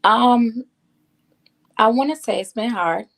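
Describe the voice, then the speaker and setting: Gravelly-voiced woman author from Haiti. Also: on the high side, takes it slowly, low-quality recording. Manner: candid, reflective, speaks from personal experience. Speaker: guest author. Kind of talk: talk show guest conversation.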